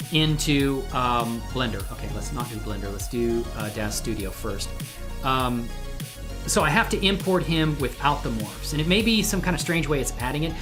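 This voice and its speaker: A man hosting a casual livestream chat, talking in an effusive, grateful manner with his viewers. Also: on the high side. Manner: effusive, excitedly whooping greetings to viewers, grateful. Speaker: live stream host and 3D animation creator.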